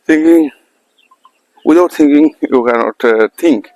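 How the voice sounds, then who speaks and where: A man from India with an Indian English accent, speaking English as a second language in a halting, repetitive motivational monologue to camera, filmed at a calm, quiet spot. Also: on the high side, no echo. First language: not English. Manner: halting, repetitive non-native phrasing. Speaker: English learner vlogger.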